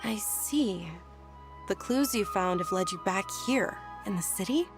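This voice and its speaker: An actress playing a well-bred, caring young noble lady in a video game, with a polite, gentle, articulate manner. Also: medium pitch, lively intonation, unhurried, natural voice. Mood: curious, caring. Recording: faint game music behind the voice.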